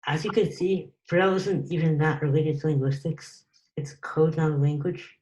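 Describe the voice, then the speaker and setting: Low-voiced woman on a video call. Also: normal speed, rough recording. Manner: relaxed, hesitant with many ums. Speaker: student presenter. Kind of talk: casual online Q&A.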